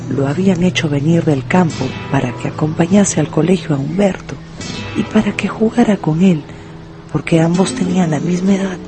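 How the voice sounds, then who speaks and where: A narrator delivering a scripted, dramatic story for a radio play. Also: mid-range voice.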